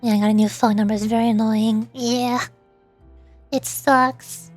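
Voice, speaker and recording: A woman's voice: on the high side, fairly even intonation, measured pace, a little mellow, faint music behind. A woman livestreamer chatting casually, rambling and often interrupting herself, her voice starting to tire. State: voice starting to get worn out. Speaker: VTuber livestreamer.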